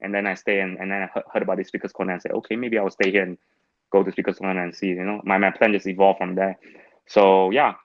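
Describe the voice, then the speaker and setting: A man from Malaysia, speaking English as a second language, answers as a guest in casual discussion, blunt and halting with restarts. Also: low-pitched, flat delivery, dry recording, dull audio. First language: not English.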